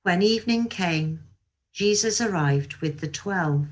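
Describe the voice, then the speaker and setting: A woman reads scripture as scripted narration. She takes it slowly, in a voice a touch lower than most women's, heard over a video call. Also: lively intonation. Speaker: scripture reader. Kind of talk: Bible reading, scripted narration.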